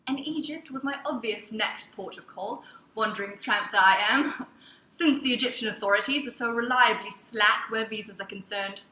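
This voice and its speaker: A woman's voice: on the high side, normal speed, sharp tone. A woman playing a smug, unapologetic middle-class male traveler, pompous and flippant, in a staged reading. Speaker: performer in a dramatic reading of emails. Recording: echoey room, faint background noise.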